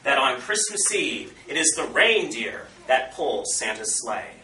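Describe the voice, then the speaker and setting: Animated man storyteller, high bright voice, roomy recording. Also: unhurried. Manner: theatrical, acting out character voices. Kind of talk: animated oral folktale telling to children. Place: indoor room at a historical society. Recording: roomy, far from mic, faint noise.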